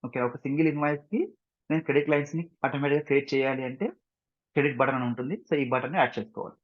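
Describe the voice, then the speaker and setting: A man with an Indian Telugu accent gives a step-by-step software tutorial as a trainer, mixing two languages and often checking that learners follow with short questions. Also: mid-range voice, steady tone, racing. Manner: instructional, repeatedly checking understanding with short questions. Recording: dull, roomy lo-fi screen recording.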